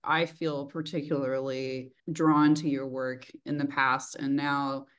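A woman's voice: rumbling low, flat delivery, takes it slowly, clear, resonant tone. A middle-aged woman with a mild Texan accent, chatting casually and reflectively on a video call. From rural Texas, United States. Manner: reflective, informal, frequent "like". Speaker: interviewer, host. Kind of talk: casual chat. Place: small indoor room, video call. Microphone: video call, desk distance.

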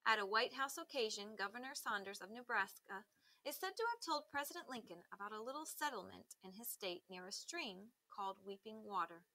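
A woman reading a children's article aloud like a teacher, with careful, expressive emphasis. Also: medium pitch, a little fast, low-quality recording.

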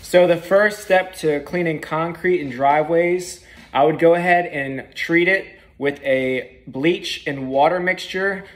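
A young man in his twenties talks straight into a handheld phone from an indoor room, explaining how he runs his pressure washing jobs. His voice sits slightly high for a man, and his delivery is easygoing and unpolished, dotted with ums and uhs. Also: unhurried. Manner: relaxed, plain-spoken, frequent ums and uhs. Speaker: pressure washing business owner. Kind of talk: casual how-to vlog. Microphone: phone camera at arm's length.

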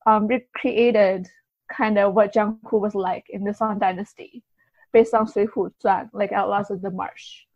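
A woman's voice: mid-range voice, steady tone, a little mellow, dry recording. Woman guest, dry slightly muffled sound. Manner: halting, filler-heavy, thinking aloud. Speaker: podcast guest, writer and translator. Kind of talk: casual interview answers, recounting experiences.

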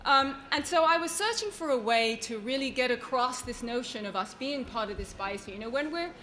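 A woman's voice: high-pitched, expressive, fairly quick, pushed and bright. A woman speaking publicly at a launch event as an author, in an earnest, grateful and emphatic manner. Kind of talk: public speech at a book launch. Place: event at an institutional venue, live speech.

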